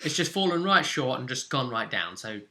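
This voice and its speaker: A man working as game master, casually recapping tabletop game play in an animated, joking way and acting out moments as he goes. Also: medium pitch, fairly quick.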